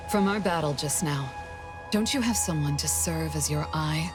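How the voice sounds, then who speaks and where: An actress playing a guarded, brooding female assassin with a cool, measured delivery in a game. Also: sits low, over music. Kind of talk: game character dialogue and solemn monologue.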